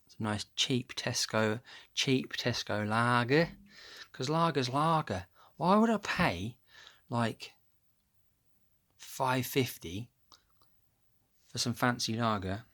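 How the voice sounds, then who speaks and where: A man with a deep voice chatting casually to his phone camera at home, rambling and losing his thread with plenty of ums. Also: lively intonation, slow pace, pauses often, fairly bright tone. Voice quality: low, deep voice. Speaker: vlogger. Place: at home, upstairs room. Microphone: phone camera held close.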